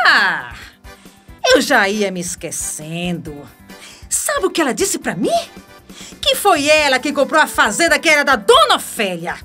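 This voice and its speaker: A woman's voice: medium pitch, sharp tone. A woman playing an overwrought, volatile character with a Brazilian accent in a melodramatic radio soap. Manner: melodramatic, emphatic. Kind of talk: radio soap opera acting.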